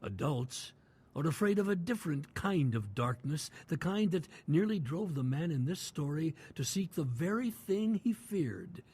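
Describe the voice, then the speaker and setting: A man as announcer and narrator of a radio drama series, reading in a solemn, measured way. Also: on the high side, big pitch swings, warm tone, slightly rough. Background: music bed.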